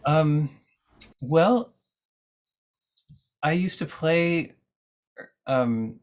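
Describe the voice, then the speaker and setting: A man's voice: on the high side, lively intonation, very slow. A man talking as a guest musician in an interview, thoughtful and explaining at length with frequent fillers and restarts. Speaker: lutenist guest. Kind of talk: conversational interview answers.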